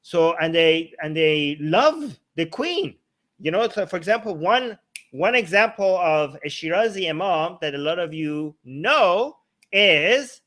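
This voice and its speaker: Guy with a slightly high voice talking through stuff casually, keeps checking you follow. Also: lively intonation, unhurried, fairly bright tone. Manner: informal, checks in with "okay" and "right". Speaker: talk show host. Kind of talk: casual explanatory discussion.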